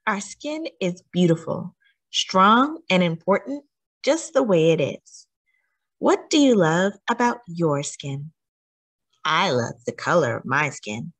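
A woman reading a children's picture book aloud as a story time host, with deliberate, teacherly emphasis and questions to young listeners. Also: fairly low pitch, very animated, slightly rough.

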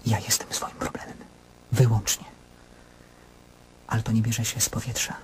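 An actor in a radio play voicing an anguished, self-questioning middle-aged man, brooding and intense, speaking in halting monologues. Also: fairly low pitch, level tone, darkish tone, a little hiss or hum, natural voice. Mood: despairing.

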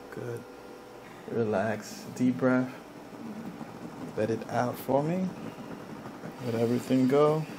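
A man working as a chiropractor, giving a client calm, short, repeated instructions in a soft-spoken way. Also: mid-range voice, vocal fry. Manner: calm, repetitive short cues. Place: small indoor treatment room. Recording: noisy room ambience.

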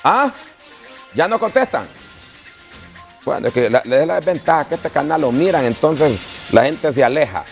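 A man's voice: a little high, lively intonation, moderate pace, creaky, pauses often, soft background music. A man in his fifties with a Honduran accent, anchoring a live news show in colloquial, exasperated style. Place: TV news studio. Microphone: lapel mic.

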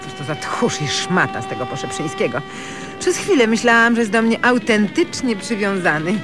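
A flirtatious, fussy married lady as acted for radio comedy, her mid-pitched voice swooping theatrically up and down. Music sits clearly behind her. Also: sing-song, normal speed, close to an everyday voice. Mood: fretful. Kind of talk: comic radio play acting.

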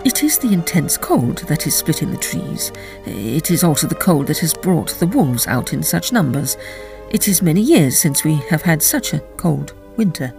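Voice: mid-range voice, very animated, normal speed, creaky, music in the background. A reader voicing a banker's wife who soothes and agrees sympathetically. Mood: placating.

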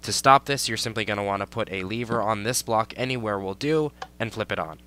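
A young man with a lowish, bright and smooth voice talks through a game building tutorial step by step. He is just getting over a cold and keeps saying "you're gonna wanna" as he places each piece. It has the steady, instructional feel of a home-recorded voice-over. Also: a little fast. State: recovering from a cold. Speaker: tutorial creator.

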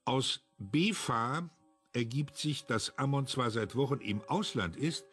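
An actor playing a self-assured, analytical investigator in a German radio crime drama, speaking in a formal, clipped manner. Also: male voice, medium pitch, expressive, measured pace, a bit bright, husky, faint music behind. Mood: critical, precise.

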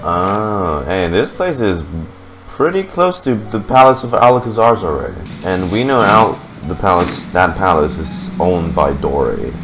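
A young man giving casual, slangy reaction commentary on a game, with joking asides, into a boom mic. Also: animated, unhurried, noisy background. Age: young adult. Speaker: gaming streamer. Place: small indoor room. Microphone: microphone on a boom arm.

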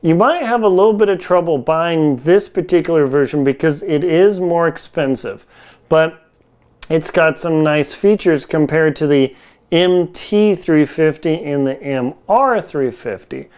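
A man in his forties with a fairly high, very clean and smooth voice talks straight to camera as a reviewer. His delivery is informal and slangy, like casual conversation. He is recorded on a lapel mic indoors in a home kitchen. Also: lively intonation, a little slow, darkish tone. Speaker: product reviewer.

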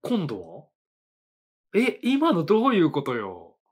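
Man, 30s, husky voice, lively swooping pitch, casual reaction chatter, faint music behind. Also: slow pace. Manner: musing aloud, lots of short reactions and laughs. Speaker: anime reaction channel host. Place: small indoor studio with a sofa.

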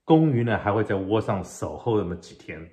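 A middle-aged man teaching a fishing technique in an unhurried way, explaining step by step with pauses, filmed at home indoors. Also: fairly low pitch, expressive, darkish tone. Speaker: fishing channel host. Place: home living room, indoors. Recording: roomy echo.

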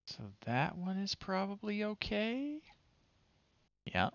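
A middle-aged man giving casual, low-key live commentary as he plays retro games, often muttering to himself. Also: very animated, takes it slowly, fairly bright tone, no echo, dull audio. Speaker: livestream host playing retro games. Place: small room at home, indoors.

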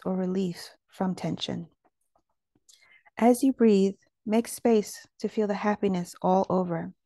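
A woman leads a guided meditation with a gentle, soothing delivery. Her medium-pitched voice is relaxed and warm, with a creaky edge. The sound is dry and close, with very little room in it. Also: dry recording.

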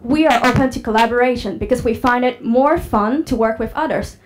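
A young woman gives an upbeat pitch presentation on a conference stage, putting questions to the audience through a handheld microphone. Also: fairly quick, a little hiss or hum, low-quality recording. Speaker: startup presenter. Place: large conference hall on stage.

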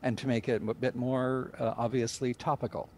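A man's voice: medium pitch, flat delivery, normal speed, darkish tone, a little hiss or hum. An older man speaking as a writer and director in an interview over a group video call. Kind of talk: interview discussion of a radio drama.

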